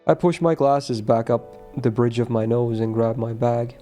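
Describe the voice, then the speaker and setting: A man reading the lines of a quiet, withdrawn teenage schoolboy from a visual novel in a calm, matter-of-fact way. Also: mid-range voice, unhurried, faint music behind, natural voice. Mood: subdued.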